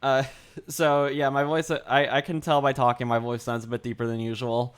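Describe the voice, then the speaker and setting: A young man streaming while he draws, chatting casually with his viewers, his throat dry and sore and his voice a little deeper than usual. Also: little pitch movement, normal speed, clean tone. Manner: rambling, chatty, greets chat, repeats himself. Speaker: art livestreamer. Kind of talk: casual livestream chat.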